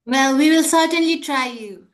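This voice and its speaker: A woman's voice: sits high, normal speed. A woman hosting an online talk session in a courteous, formal manner. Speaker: host of a virtual talk session. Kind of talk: polite hosting of a panel. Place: online video call.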